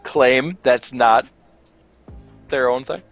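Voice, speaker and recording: A man's voice: medium pitch, takes it slowly, fairly bright tone, soft background music. A man chatting casually on a group livestream in a wry, joking way. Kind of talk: casual chat.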